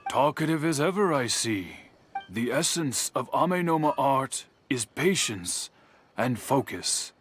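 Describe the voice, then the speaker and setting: Stern, dignified master swordsmith. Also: male voice, mid-range voice, big pitch swings, fairly bright tone, faint music behind. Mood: stern, disapproving. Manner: measured, formal, grave. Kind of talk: anime-style video game voice acting.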